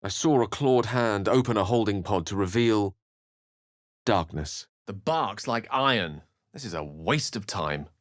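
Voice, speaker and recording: A man's voice: fairly low pitch, lively intonation, a little slow, no echo, clean studio sound. A man voicing a learned, eloquent wizard adventurer in a video game, with an English accent and a theatrical, articulate delivery. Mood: dry, wry. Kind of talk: dramatic scripted game dialogue and narration.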